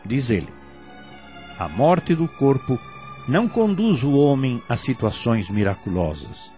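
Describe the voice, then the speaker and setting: A Brazilian man giving scripted, didactic radio commentary in a formal, deliberate, solemn manner. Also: mid-range voice, very animated, mellow. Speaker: radio commentator on spiritist teaching. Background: faint music.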